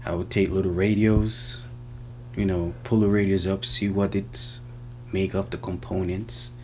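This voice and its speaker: A man's voice: low voice, flat delivery, a little slow, a little mellow, slight background noise. A man with a Jamaican accent giving casual answers in an interview. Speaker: interviewee, senior car technician.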